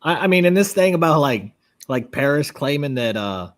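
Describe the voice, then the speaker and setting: Man, podcast co-host, casual, blunt, swears, stammers on starts, animated pitch. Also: normal speed. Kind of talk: casual chat.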